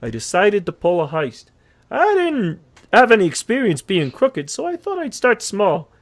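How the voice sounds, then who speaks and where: A man plays a hapless cartoon horse telling his sad tale in a high voice. He is earnest and nervous, stammering and melodramatic as he reads from an audio comic. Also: huge pitch swings, moderate pace, heavily acted. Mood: bitter. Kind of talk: scripted audio comic narration.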